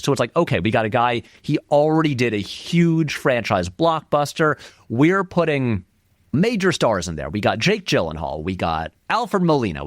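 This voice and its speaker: A man's voice: medium pitch, no echo, studio quality. A man giving casual, discursive film commentary as an interview guest, explaining animatedly and peppering his talk with "like". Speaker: interview guest, filmmaker and video essayist.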